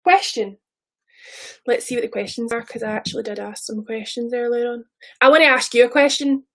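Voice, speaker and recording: A woman in her thirties with a Scottish accent, talking loosely and rambling to her viewers on a live stream. Her voice sits a little high, and she swears now and then between asides. She sounds like she is on a phone or webcam mic. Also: little pitch movement, fairly quick, fairly bright tone. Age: 30s. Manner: chatty, rambling, swears casually. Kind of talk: casual live stream chat.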